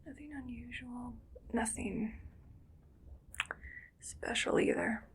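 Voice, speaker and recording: A woman playing a musing, matter-of-fact mad scientist in an ASMR medical roleplay, murmuring in a breathy whisper with creaky edges. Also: on the high side, fairly even intonation. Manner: unhurried, murmuring to self, clinically detached. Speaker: ASMR performer.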